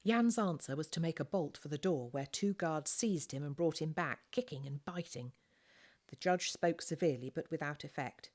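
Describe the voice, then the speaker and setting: Low-pitched woman reading a story. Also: normal speed, a little mellow. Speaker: audiobook reader. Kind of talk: scripted storybook narration.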